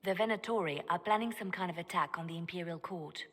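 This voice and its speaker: Low, composed spymaster in reverberant hall. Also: female voice, a bit bright. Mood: composed, serious. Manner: measured, serious briefing. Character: composed, secretive female spymaster advisor. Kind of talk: video game voice acting.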